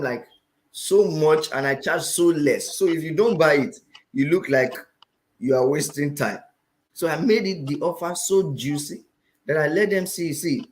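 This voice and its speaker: Nigerian man, interview guest, finance teacher, fairly high voice, animated and repetitive. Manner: animated, repeats phrases for emphasis. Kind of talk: interview talk.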